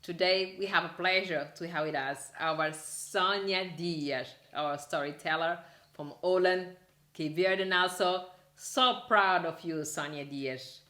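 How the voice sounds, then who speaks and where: Woman hosting an interview, halting, restarts a lot, roomy echo. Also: lowish voice, pushed and bright. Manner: halting, restarts, non-native phrasing. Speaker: interview host. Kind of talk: interview questions.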